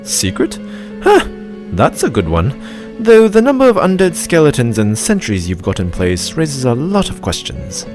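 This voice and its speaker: Man acting a smug gentleman scholar, lively swooping intonation, sardonic, pedantic, cartoon parody, music behind. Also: mid-range voice, measured pace. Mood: smug, dry. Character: clever, smug gentleman scholar, drily sarcastic instructor. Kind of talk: comedic fan-dub voice acting.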